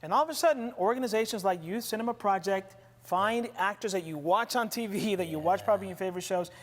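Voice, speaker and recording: A man's voice: high-pitched, quick pace. A man hosting an event in casual chat, heard in an echoing space. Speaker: event host. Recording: echoey reverberant room.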